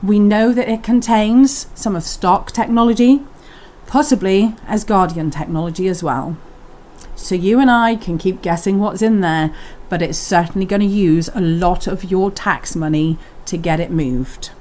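A woman's voice: mid-range voice, moderate pace, darkish tone, close to an everyday voice. A woman playing a chatty radio newsreader. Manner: chatty, addresses listeners directly. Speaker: radio news presenter. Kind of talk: scripted radio news read.

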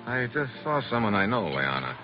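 Low-voiced, wry American agent. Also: male voice, big pitch swings, moderate pace. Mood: smooth, flirtatious. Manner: casual, wry, clipped. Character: cool, wry, flirtatious male American secret agent posing as reporter. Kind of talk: 1940s radio acting. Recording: muffled vintage radio recording with music behind.

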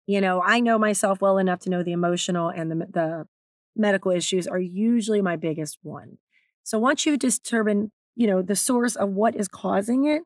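A woman with a naturally raspy, slightly strained voice, hosting her own podcast in a candid, chatty way. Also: mid-range voice, dry recording, clean studio sound. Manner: candid, chatty, self-deprecating. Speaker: podcast host and author. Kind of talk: solo podcast talk.

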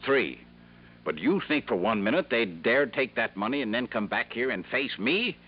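An actor playing a pompous, indignant company boss in a 1950s radio drama, formal and clipped in manner. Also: male voice, medium pitch, big pitch swings, normal speed, natural voice. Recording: muffled 1950s radio recording, faint hum.

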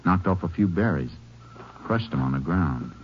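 Shrewd Texas Ranger, extremely low voice, calm clipped commands, muffled vintage radio western. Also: male voice, moderate pace, a little hiss or hum. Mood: terse, watchful. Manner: terse, authoritative, clipped commands. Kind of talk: 1940s-50s radio western acting and narration.

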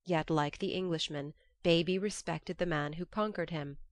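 Audiobook narrator, woman: lowish pitch, scripted literary storytelling, dry close sound. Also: fairly even intonation, moderate pace, no echo.

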